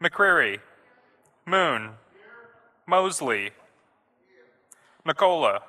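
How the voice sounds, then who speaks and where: A man working as a senate clerk, calling out formally and deliberately in isolated phrases, as in a roll call. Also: fairly high pitch, big pitch swings, sharp tone. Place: large legislative chamber. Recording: echoey hall with long reverb.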